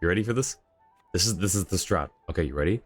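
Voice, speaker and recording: A man's voice: sits low, lively intonation, fast, breathy edge. A man doing casual, jokey live commentary as a game streamer, reacting aloud as he plays. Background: game music.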